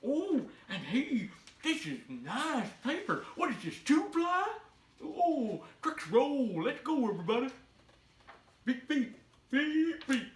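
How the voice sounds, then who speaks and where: A man playing a folksy, goofy country trucker with a husky voice, performing a comic read-aloud for children. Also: high voice, a bit bright, heavily acted. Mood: folksy, joking. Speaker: storybook read-aloud host.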